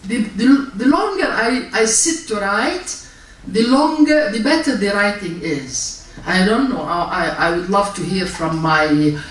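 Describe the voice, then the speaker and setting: An older woman from Palestine talks on a literature panel, chatty and wry, often stopping to restart her sentences. She sits well back from the microphone in a very echoey library hall with a faint hiss. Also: lowish voice. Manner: chatty, self-mocking, halting restarts. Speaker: panel speaker, writer. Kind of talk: festival panel talk. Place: public library event hall. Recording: echoey hall, distant mic, faint noise.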